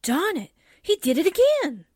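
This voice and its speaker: A woman playing a sarcastic, slangy, excitable female sidekick in a radio drama. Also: very high voice, moderate pace, dry recording, studio quality, full character voice. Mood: jumpy, startled. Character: wisecracking, jumpy, sarcastic female newspaper sidekick.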